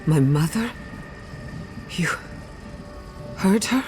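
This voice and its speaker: A woman's voice: fairly low pitch, lively intonation, very slow. An actress playing a headstrong woman adventurer in a video game, shaken and intense, bluntly demanding answers. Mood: stunned. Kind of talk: video game character acting. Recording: music behind the voice. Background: music.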